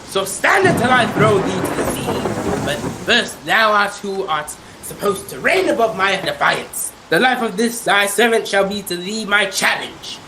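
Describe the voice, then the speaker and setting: A man's voice: high-pitched, sing-song, unhurried, few pauses, noisy background, full character voice. A young man playing a proud, blaspheming sea captain in theatrical old-time radio drama style, with archaic, declamatory speech. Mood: raging defiance. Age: young adult. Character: defiant blaspheming sea captain, proud, raging, later pleading.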